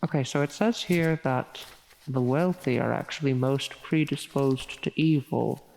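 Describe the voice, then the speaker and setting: A woman's voice: a bass voice, gravelly, darkish tone, exaggerated voice. A woman playing a flustered, well-meaning female demon tempter in an ASMR audio roleplay, hesitant and stammering as she coaxes. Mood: hesitant, studious. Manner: hesitant, halting, stammering, coaxing. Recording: long reverberant echo on the voice.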